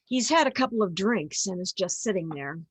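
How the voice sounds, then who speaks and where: A woman's voice: mid-range voice, animated, a little fast, breathy edge. A woman playing a watchful senior angel who explains and guides in a radio play. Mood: explaining, urgent.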